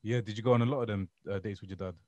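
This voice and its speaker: A man's voice: fairly low pitch, steady tone, racing, darkish tone, dry recording, muffled recording. A young man with a London accent, chatting casually and in slang as a podcast co-host. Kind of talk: casual chat. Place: indoors, remote video call.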